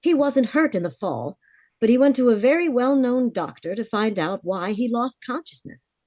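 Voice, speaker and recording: A woman's voice: a little high, expressive, moderate pace, darkish tone, dry recording, studio quality, natural voice. A woman playing a worried, frank young heiress of twenty-four in a vintage-style radio drama, confiding her story earnestly. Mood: grave, worried.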